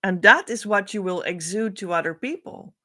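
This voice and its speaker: A middle-aged woman whose first language is Dutch, giving an oracle card reading as a spiritual coach, speaking to camera in a slow, musing way. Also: mid-range voice. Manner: slow, musing, drifts between soft and louder emphasis.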